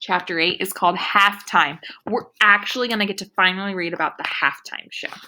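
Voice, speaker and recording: A woman's voice: medium pitch, fairly quick, bright, forceful tone, slight background noise, low-quality recording. A woman teacher reading a children's book aloud expressively and speaking directly to young listeners.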